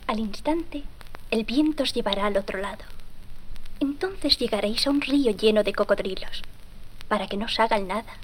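Wise forest fairy for a children's story, played by a woman: instructive, lightly high register, slight noise behind. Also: lightly acted, low-quality recording. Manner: solemn, instructive. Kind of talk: storybook acting.

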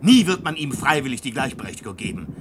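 A man's voice: mid-range voice, very animated, fast talker, natural voice. A man acting a proud, defiant inventor in a German science-fiction radio play, lecturing in a pedantic, impassioned way. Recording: very noisy old radio play recording.

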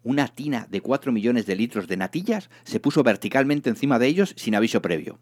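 A man narrating a comic story in a theatrical, expressive scripted reading. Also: mid-range voice, brisk. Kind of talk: dramatised comic audiobook narration.

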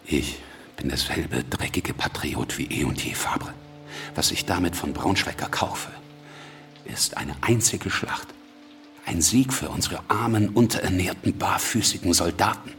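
An actor playing a cunning, ruthless, self-assured revolutionary leader in a radio drama, gravelly and deliberate in delivery. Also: male voice, low-pitched, a bit bright, soft background music. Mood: grave, resolute.

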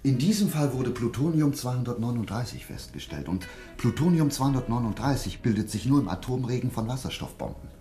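An actor playing an earnest, driven scientific investigator in a German radio play, with an intense and deliberate dramatic delivery. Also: male voice, mid-range voice, big pitch swings, warm tone, soft background music, natural voice. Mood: urgent. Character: earnest, driven male scientific investigator uncovering a dark secret.

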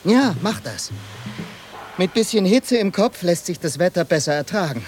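A man's voice: high voice, sing-song, moderate pace, darkish tone, slight background noise. A man playing a hearty, proud, confident ship's helmsman in a German radio play.

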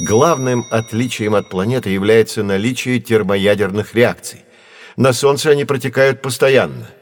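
Low-voiced, patient professor. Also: male voice, no echo, studio quality. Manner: measured, explanatory, didactic. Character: learned, patient male astronomy professor guiding children. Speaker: teacher. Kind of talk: scripted educational audio drama.

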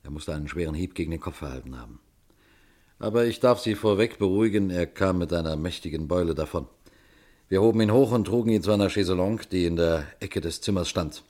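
Deep-voiced colonel telling a mystery. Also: male voice, warm tone. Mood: composed storytelling. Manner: measured storytelling, composed. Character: composed male colonel narrating his own mystery case. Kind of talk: scripted radio mystery narration and dialogue.

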